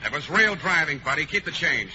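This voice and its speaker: A man playing a tired, hard-boiled private detective in a 1940s radio comedy, trading dry, deadpan wisecracks. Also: on the high side, a little fast, muffled recording. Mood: weary, deadpan. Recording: hissy 1940s radio broadcast.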